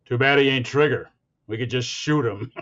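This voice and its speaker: A man's voice: medium pitch, normal speed, fairly bright tone, lightly acted. A man playing a callous, wisecracking film studio boss with a joking, drawling delivery, in 1940s radio comedy style. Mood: dry, conspiratorial. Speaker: actor in a radio comedy recreation. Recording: dull, lo-fi sound.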